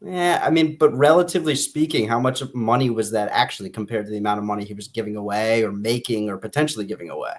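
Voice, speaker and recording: An American man talks casually as a podcast co-host discussing a book. He speaks in a conversational, halting way, often circling back to rephrase what he means. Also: lowish voice.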